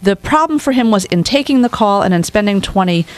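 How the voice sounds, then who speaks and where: Female political panelist, medium pitch, analytical talk. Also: lively intonation, brisk.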